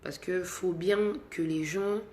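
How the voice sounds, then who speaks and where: Woman with flu, talking slowly. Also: lowish voice, steady tone, echoey room. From Gabon. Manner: starts quiet and subdued, grows loud and insistent when explaining. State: sick with flu. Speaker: singer addressing her fans. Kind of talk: direct-to-camera talk to fans.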